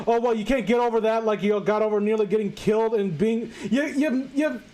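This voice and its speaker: A man's voice: high voice, a little mellow. A man reading a story aloud as host among friends, casual and incredulous, often tripping over the text and breaking off to mock it. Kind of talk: casual mocking reading of amateur fan fiction with friends.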